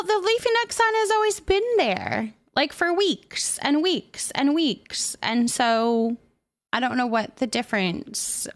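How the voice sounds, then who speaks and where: High-voiced woman chatting casually on livestream. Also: no echo. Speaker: livestream host. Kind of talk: casual livestream chat, greeting viewers and reading comments aloud.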